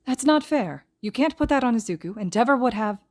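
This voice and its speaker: Woman doing a high, excitable young woman. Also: brisk. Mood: indignant.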